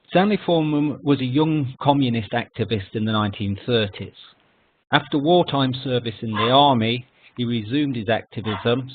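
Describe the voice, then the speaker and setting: A man giving a scripted history lecture with slides as a consultant, in formal, measured phrasing. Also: mid-range voice, slight background noise. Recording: narrow phone-like online call audio.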